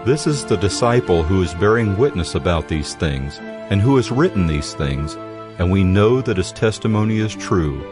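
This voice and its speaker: A man narrating scripture from a script in a measured, solemn way. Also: deep voice, lively intonation, over music. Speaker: Bible narrator.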